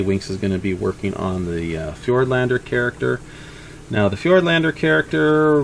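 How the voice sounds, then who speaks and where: A man giving an informal, unscripted progress update as an indie game developer, speaking in a halting, rambling way. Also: on the low side, gravelly, slight background noise.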